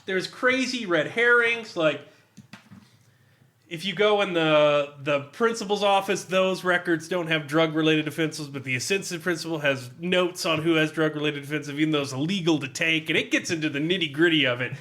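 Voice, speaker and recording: A man reviewing a role-playing book casually among friends in an animated, amused, sweary and sardonic way. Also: on the high side, normal speed. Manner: animated, amused, often laughing while talking; profane, sardonic.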